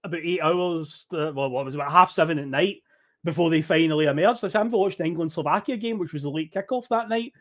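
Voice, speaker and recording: A man with a Scottish accent, a podcast guest telling a travel story in casual chat, animated and swearing freely. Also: a little high, fast.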